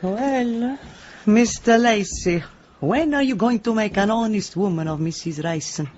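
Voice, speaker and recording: A woman's voice: medium pitch, measured pace, a little mellow, lo-fi sound, slight background noise, lightly acted. A woman playing a doting but secretive village woman, emphatic and motherly, in a radio drama. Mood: motherly, coaxing.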